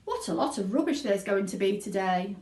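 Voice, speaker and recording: A woman's voice: mid-range voice, lively intonation, fairly quick, darkish tone, close to an everyday voice. A woman reading a children's storybook, voicing an indignant, blustering middle-aged father who speaks in a cross, formal way. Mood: startled. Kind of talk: children's storybook read aloud. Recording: echoey room.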